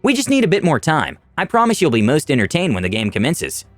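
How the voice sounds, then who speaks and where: A man's voice: a little high, wildly swooping pitch, fast talker, no echo, faint music behind, voice pushed up. A man voicing an adventurer who placates a villain, over-polite and ingratiating, in a fantasy story. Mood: anxious placating.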